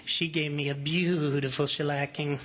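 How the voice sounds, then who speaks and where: A woman's voice: deep voice, level tone, background noise, lightly acted. A woman playing a meek, henpecked husband with a prim, mild manner in an old-time radio comedy. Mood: meek, wistful. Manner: prim, mild, drawn-out understatement.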